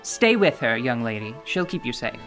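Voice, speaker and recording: A woman playing a calm, authoritative tiefling warrior woman with a measured, dry delivery. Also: extremely low pitch, faint music behind, exaggerated voice. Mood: commanding. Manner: cool, measured and dryly authoritative. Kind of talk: radio drama acting.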